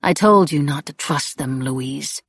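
A woman playing a cruel, imperious blood witch in an audiobook, speaking with slow, deliberate disdain. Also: low-pitched, fairly bright tone, slightly rough, no echo, clean studio sound, exaggerated voice. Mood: scornful. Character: cold, cruel, commanding blood witch matriarch.

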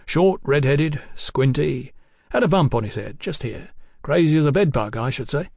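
A man's voice: on the high side, expressive, gravelly, a little mellow, dry recording, studio quality. A man voicing an easy-going, talkative working-class bill-sticking boss in an audiobook, with folksy, colloquial phrasing. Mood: chatty, unruffled. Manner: folksy, unhurried, colloquial, garrulous.

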